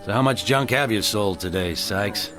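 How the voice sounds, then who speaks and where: An actor playing a stern, plain-spoken western sheriff in a radio drama, blunt and authoritative, with an American accent. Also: male voice, on the low side, moderate pace, fairly bright tone, soft background music, lightly acted. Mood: scornful, stern.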